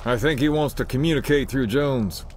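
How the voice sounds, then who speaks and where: An actor playing an earnest, resolute team negotiator in a video game, speaking insistently. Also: male voice, mid-range voice, normal speed, a little mellow, a little hiss or hum, natural voice. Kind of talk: video game cutscene acting.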